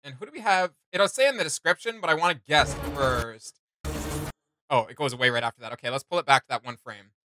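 A man's voice: a little high, quick pace, background noise. A man in his thirties hosting a casual reaction chat, enthusiastic and slangy, recorded in a small home room. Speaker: reaction video host.

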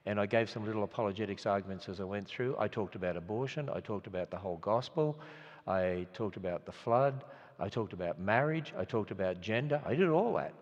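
A man's voice: on the low side, expressive, brisk. An older man with an Australian accent, preaching in an earnest, conversational way full of restarts. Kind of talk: storytelling sermon. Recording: lo-fi sound in a reverberant hall.

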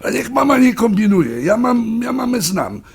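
Grown son: very husky, gravelly, insistent, fed up with his mother. Radio drama. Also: very high voice, big pitch swings, moderate pace, exaggerated voice. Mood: insistent, annoyed. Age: adult. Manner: insistent, suspicious. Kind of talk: audio drama acting.

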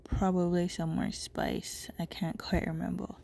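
A woman's voice: lowish voice, little pitch movement, measured pace, vocal fry, mellow. A young woman from Jamaica with a Jamaican accent, chatting casually as she cooks in her home kitchen, a bit stuffy from a cold, picked up by a camera mic across the room. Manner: chatty, rambling, talking while cooking. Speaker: cooking vlogger. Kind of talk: casual narration over cooking footage.

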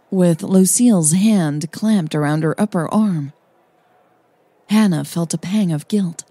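A woman narrates a romance story in a calm, measured voice, sitting slightly low in her range. Her tone is warm and mellow, and her delivery is soft and husky, never sharp. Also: dry recording. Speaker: podcast host and narrator.

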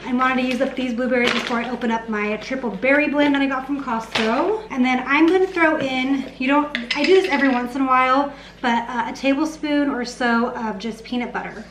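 A woman in her late thirties with a fairly high voice talks casually to her vlog viewers. She is in a home kitchen with noticeable echo, and there is clear noise behind her. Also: brisk, few pauses. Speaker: lifestyle vlogger. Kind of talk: casual vlog chat while cooking, answering viewer questions.

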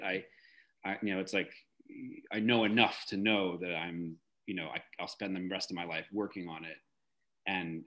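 Low-pitched middle-aged man, reflective. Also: pushed and bright. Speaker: interview guest, theater director. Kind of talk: reflective conversational interview answers. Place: indoor room at home, video call.

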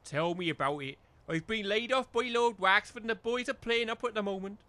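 A man's voice: high voice, a little fast, pushed and bright, no room sound. A man playing a chummy, cajoling village labourer and chancer who speaks in rustic dialect, in a comedy audio drama. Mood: wheedling.

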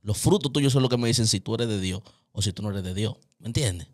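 Animated Dominican man hosting a podcast. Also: fairly low pitch, quick pace. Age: 30s. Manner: animated, gestures widely, preachy. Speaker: host. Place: small indoor home studio. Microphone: studio mic on stand, wearing headphones.

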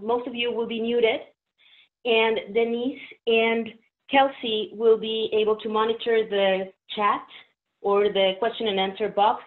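A woman from Mexico, a native Spanish speaker with a Mexican Spanish accent, giving a friendly, explanatory interpreter training presentation on a video call. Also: medium pitch. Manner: friendly, explanatory, uses fillers. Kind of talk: webinar presentation. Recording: phone-like narrow audio.

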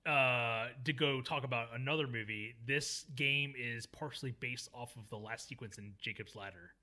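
A man with a very bright, sharp tone talks over a horror film with friends. His pitch sits in the middle, and he tosses in jokey asides and quick impressions. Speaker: podcast co-host. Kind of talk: casual film discussion.

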